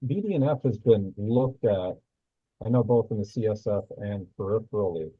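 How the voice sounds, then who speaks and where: A man with a low, husky voice asks a question over a video call, hesitating and restarting his sentences. The line is narrow and phone-like, and the sound is dry and close. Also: lively intonation, normal speed, dry recording. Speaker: audience member asking a question. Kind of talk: webinar Q&A.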